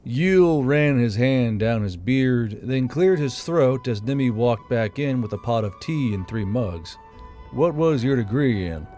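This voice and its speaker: A man's voice: medium pitch, lively intonation, a little slow, hardly any pauses, mellow. A man narrating a fantasy audiobook in a scripted storytelling style. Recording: music behind the voice.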